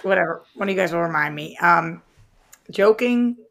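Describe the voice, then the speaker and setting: Creaky-voiced woman chatting casually. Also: on the low side, normal speed, a bit bright. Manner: chatty, informal, slangy. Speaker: co-host of a movie news show. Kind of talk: casual movie discussion.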